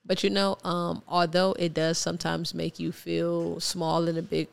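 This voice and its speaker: Creaky yet smooth American woman. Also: on the low side, monotone, moderate pace. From Ohio, United States. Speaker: podcast guest. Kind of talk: casual conversational interview.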